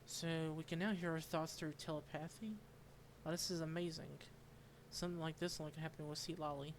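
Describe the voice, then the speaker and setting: A woman's voice: low-pitched, fairly even intonation, a little fast, a little hiss or hum. A woman gamer giving casual gameplay commentary and reading game text aloud. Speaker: gaming streamer.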